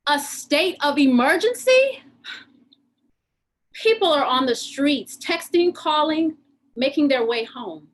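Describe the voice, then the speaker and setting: A woman reading dramatic scripted narration for a stage piece. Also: high voice. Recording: noisy room ambience.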